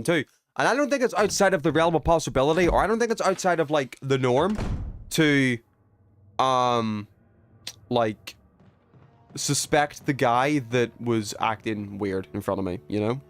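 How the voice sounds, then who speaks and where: A man live streaming a video game with casual, slangy commentary full of swearing. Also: medium pitch.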